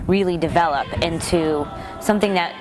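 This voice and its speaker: A woman's voice: fairly low pitch, moderate pace, music in the background, rough recording. A woman speaking as a youth theatre director in a documentary interview, earnest and reflective.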